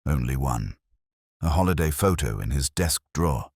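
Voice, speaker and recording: A man's voice: a bass voice, expressive, moderate pace, a little mellow, breathy edge, no echo, exaggerated voice. A man voicing a matter-of-fact police sergeant who reports in a clipped, dry manner in an audio mystery. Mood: factual.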